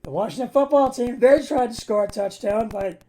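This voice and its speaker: Male amateur sports host, raspy mid-high voice, halting and stumbling delivery, noticeable background noise. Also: dark tone. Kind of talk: amateur football game recap.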